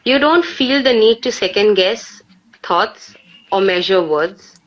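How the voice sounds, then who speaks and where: An Indian-accented woman with a medium-pitched voice talks reflectively, like a poet sharing her work. Her delivery is halting and conversational. The sound is dull and lo-fi, as on a live stream. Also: animated. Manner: halting, peppered with 'you know'. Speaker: poet on a live poetry session. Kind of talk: casual reflective chat and poetry recitation.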